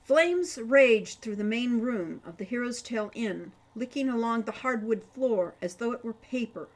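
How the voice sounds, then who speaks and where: A woman reads a story aloud in a fairly high-pitched voice. Her delivery is that of a dramatic storyteller working from a script. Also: lively intonation, moderate pace, darkish tone. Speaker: author reading own audiobook chapter.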